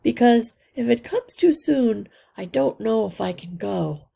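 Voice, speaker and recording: A thoughtful young mouse boy from a children's storybook, played by a woman reader. He explains himself earnestly and calmly, slightly raised in pitch, with slow pacing and a gravelly creak. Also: heavily acted. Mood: serious, calm. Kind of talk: children's storybook read-aloud.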